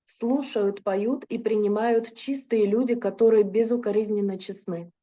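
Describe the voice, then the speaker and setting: Mellow-voiced woman interpreting a lecture. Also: medium pitch, fairly even intonation, normal speed, dull audio. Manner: fluent, with occasional hesitations and self-corrections. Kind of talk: consecutive interpretation into Russian.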